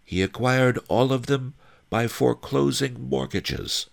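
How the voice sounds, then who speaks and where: A man voicing a knowing, scornful woman, a storybook noble nurse, reciting things item by item with deliberate emphasis. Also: medium pitch, creaky, voice pushed up.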